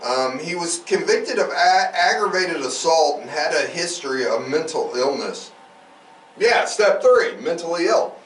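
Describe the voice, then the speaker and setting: Guy podcast host, slowish delivery, sweary irreverent asides, echoey room off the mic. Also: a little high, expressive, a bit bright. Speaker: true crime podcast host. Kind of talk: reading case notes with crude casual asides. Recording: roomy, distant mic, faint background noise.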